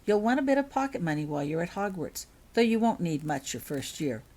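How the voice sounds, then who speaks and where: A woman's voice: fairly low pitch, very animated, brisk, a little mellow. A woman voicing a friendly wizard father who explains things kindly in a storybook reading. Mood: patient, explaining. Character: friendly, helpful wizard father guiding a child.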